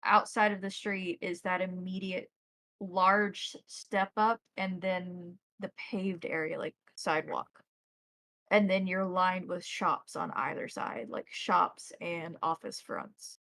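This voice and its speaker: A woman's voice: lowish voice, fairly even intonation, slow pace, a bit bright, no echo. A woman acting as game master, explaining and describing scenes in detail for a tabletop role-playing game.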